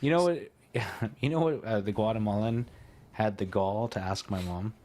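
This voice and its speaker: A man's voice: lowish voice, moderate pace, a little mellow. A man co-hosting a podcast, telling stories in a rambling, chatty way, often laughing. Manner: rambling storyteller, laughs often, stumbles and restarts. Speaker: podcast co-host. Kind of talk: casual chat.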